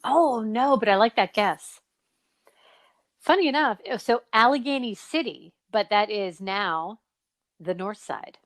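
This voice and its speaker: A woman's voice: mid-range voice, expressive, unhurried, no echo. A woman hosting a podcast in a casual, chatty and playful way, with exclamations as she runs quizzes and interviews. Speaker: podcast host.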